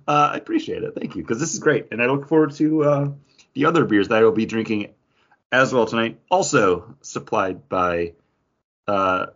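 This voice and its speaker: A man chats casually as a podcast co-host, bantering and joking as he goes. His voice is a bit rough around the edges and medium in pitch. The recording is somewhat muffled and lo-fi. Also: moderate pace, a bit bright. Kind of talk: casual chat about beer and the show.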